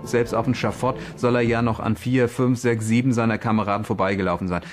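A man's voice: medium pitch, level tone, fairly quick, soft background music. Guy being interviewed as a history expert, mid-range voice, explains stuff off the cuff. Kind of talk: unscripted documentary interview commentary.

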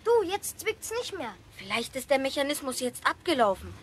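An actress voicing a curious, cheeky boy in quick banter in a children's radio play. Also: high voice, very animated, a little hiss or hum.

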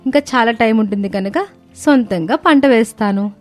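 A woman's voice: a little high, normal speed, a little mellow, lightly acted. Woman acting eager young daughter-in-law over music. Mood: cheerful. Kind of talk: animated moral-story voice acting. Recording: music bed under the voice.

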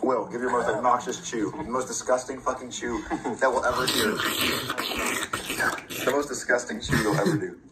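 A young man talks bluntly and excitably into a phone held at arm's length, swearing freely as he films a vlog. His voice is mid-pitched. Clear room noise sits behind him. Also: normal speed. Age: young adult. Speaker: vlogger. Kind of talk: casual handheld vlog. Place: indoor bedroom.

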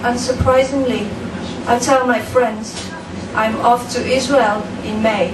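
A woman raised in France reads her poem slowly and deliberately in a dim room. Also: a little high, steady tone. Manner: slow, deliberate, measured reading. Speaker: poet. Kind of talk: poetry reading. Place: dim room with a lamp, indoors. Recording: echoey room, distant mic, background noise.

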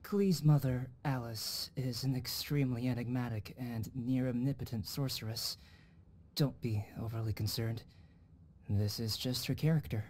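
A man playing a calm, articulate, scholarly young man in a video game, precise and detached in manner. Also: mid-range voice, moderate pace, a little hiss or hum, lightly acted. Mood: calm, reassuring. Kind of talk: video game cutscene acting.

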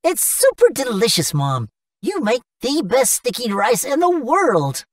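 A sweet little cartoon boy, played by a woman, eager and adoring. The voice is highish and bouncy in pitch, with childlike affection. It is recorded dry. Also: huge pitch swings, a little slow, no room sound, far from the mic. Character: sweet, eager little boy who adores his mother. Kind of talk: English-language cartoon acting.

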